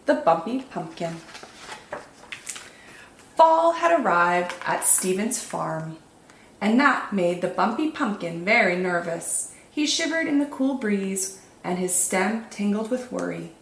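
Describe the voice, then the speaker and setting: Expressive woman reading picture books, roomy sound. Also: medium pitch, slow, slight background noise. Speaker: children's storytime host and reader. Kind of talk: expressive picture-book narration.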